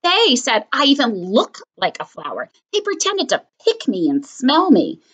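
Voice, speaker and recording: A woman's voice: sits high, wildly swooping pitch, a little slow, fairly bright tone, slightly rough, highs cut off, voice pushed up, heavily acted. Little storybook mouse girl, plaintive and wounded, voiced by a woman reader. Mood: hurt. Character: young girl mouse, proud of her name, hurt.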